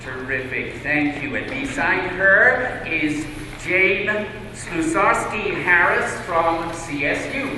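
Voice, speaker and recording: Man moderating a panel in an echoey hall. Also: a little high. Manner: brisk, hurried, with filler hesitations. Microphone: distant microphone. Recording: echoey hall from far off, noisy.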